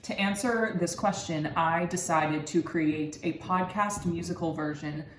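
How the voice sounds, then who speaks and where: A man's voice: fairly high pitch, a little slow, a bit bright, faint music behind. Young man presenting, roomy echo. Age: early 20s. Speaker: university student presenter. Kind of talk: scripted research presentation. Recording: roomy echo with background noise.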